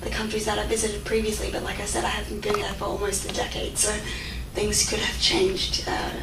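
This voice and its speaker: A woman's voice: medium pitch, little pitch movement, fairly quick, creaky. A young woman in her twenties with an Australian accent, answering audience questions in a chatty way full of fillers and restarts. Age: 20s. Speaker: guest speaker. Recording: echoey room, mic far off, faint noise.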